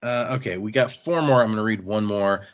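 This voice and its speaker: A man's voice: lowish voice, fairly quick, dull audio. A man hosting a podcast, chatting casually and reading listener emails aloud in an easygoing, agreeable manner.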